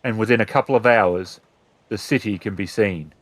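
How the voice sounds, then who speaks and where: A man narrating a tabletop roleplaying game as storyteller, describing scenes in measured, deliberate phrases. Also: fairly low pitch, dry recording.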